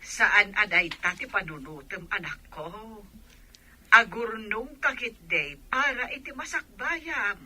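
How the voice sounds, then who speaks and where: A woman's voice: medium pitch, lively intonation, measured pace, pushed and bright, slight background noise. A woman with an Ilocano accent playing a protective, pleading mother in a radio drama. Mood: worried, tender.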